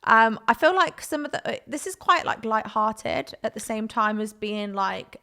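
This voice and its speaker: A woman co-hosts a relaxed podcast chat. Her voice has a gravelly fry at the ends of phrases. She laughs easily and keeps breaking off and restarting her sentences. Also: a little high, normal speed. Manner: chatty, laughs often, self-interrupting. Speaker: podcast co-host. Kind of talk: casual chat.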